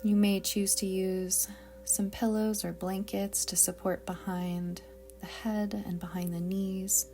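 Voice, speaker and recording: A woman guiding a yoga nidra meditation in a soft, breathy, calm and deliberate voice that often trails toward a whisper. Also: mid-range voice, a little hiss or hum. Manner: calm, soothing, gentle, deliberate. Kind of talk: slow guided meditation narration.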